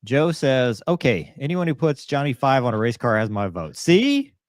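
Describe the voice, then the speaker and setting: A man's voice: medium pitch, sing-song, normal speed, no echo, clean studio sound. A man co-hosting a casual live stream, reading viewer comments aloud and joking around. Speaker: co-host reading viewer chat.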